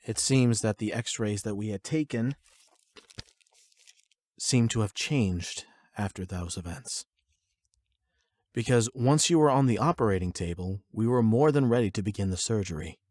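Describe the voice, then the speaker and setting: A man plays a composed trauma-center doctor in an audio roleplay. His voice sits fairly low, with polite, measured explanations and a patient clinical tone. The sound is dry and free of room echo. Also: a little mellow. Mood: clinical, explanatory.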